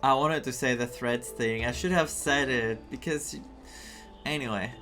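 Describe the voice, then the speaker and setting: A game streamer talks slowly and casually over a story playthrough. The commentary is reflective and emotive, with some dialogue read aloud. Music plays softly behind. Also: medium pitch.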